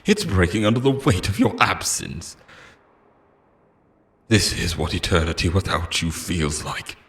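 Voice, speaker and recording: A man's voice: sits low, lively intonation, a little slow, a bit bright, slight background noise. A man playing an obsessive immortal lover in an audio roleplay, theatrical and intense, shifting between pleading tenderness and menace. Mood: anguished, bitter. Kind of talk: dramatic ASMR-style audio roleplay monologue.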